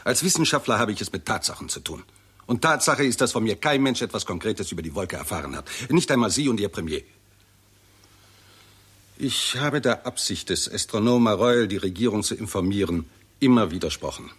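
A blunt, skeptical scientist in a 1960s radio play. His voice is fairly low and husky, and he speaks in a dry, sardonic, precise way. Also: animated, fairly quick, fairly bright tone. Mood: dry, scornful. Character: blunt, skeptical British scientist, scornful of politicians. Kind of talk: 1960s German radio drama acting.

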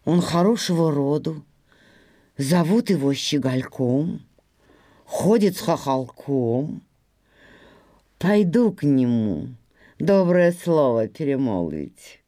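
A woman plays a haughty heron in an animal storybook. She is low-pitched and draws her words out with folksy disdain. The sound is close and dry, and she is fickle and proud in every turn. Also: lively intonation, slow, pauses often, mellow, dry recording, full character voice. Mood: musing, reconsidering.

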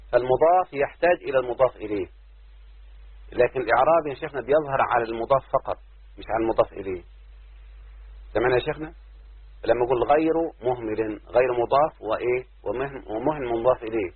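A man with an Egyptian accent teaches grammar as a sheikh, taking it slow and deliberate and checking in with his listeners. His voice is husky and sits at an ordinary middle pitch. It comes through a dry, tinny, phone-like line. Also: dry recording. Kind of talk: explaining grammar in a lesson.

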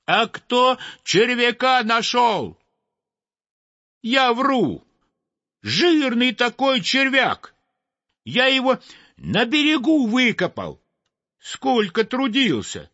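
A man doing a shrill, furious sparrow. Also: sing-song, slow pace, long pauses, no echo, dull audio, heavily acted. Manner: shrill, shouting, breathless with rage. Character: hot-tempered indignant male sparrow, family man.

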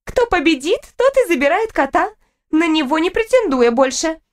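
A haughty young woman played by a female narrator, pitched very high and shrill. She is demanding, jealous and hot-tempered, a fantasy storybook rival. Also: sing-song, moderate pace. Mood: challenging.

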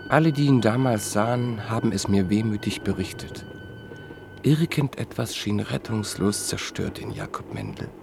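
Gravelly, solemn male radio narrator. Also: lowish voice, big pitch swings, darkish tone, slight background noise. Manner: measured, solemn storytelling.

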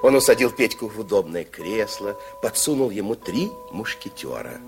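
A man narrating a 1960s radio play as a wry, theatrical storyteller with expressive scripted delivery. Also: fairly low pitch, normal speed, music in the background.

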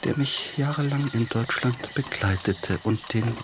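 An actor as an effusive, mannered aesthete. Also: male voice, medium pitch, unhurried, very noisy, natural voice. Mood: rapturous, languid. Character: effusive, self-absorbed male German pianist and former hypochondriac. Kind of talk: German radio play acting.

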